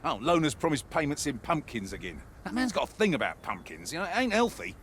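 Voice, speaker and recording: An actor playing a wry, world-weary mercenary soldier in a video game, with a colloquial, grumbling delivery. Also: male voice, a little high, huge pitch swings, fast, fairly bright tone, slight background noise. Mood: sardonic. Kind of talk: scripted in-character mission briefing monologue.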